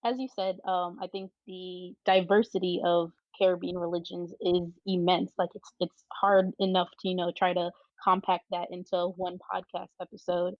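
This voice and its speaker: A woman's voice: lowish voice, level tone, normal speed, few pauses, no room sound. A woman hosting a podcast, speaking in a friendly, conversational way. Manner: friendly, conversational, casual fillers. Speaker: podcast host. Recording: dry, slightly dull audio with faint music.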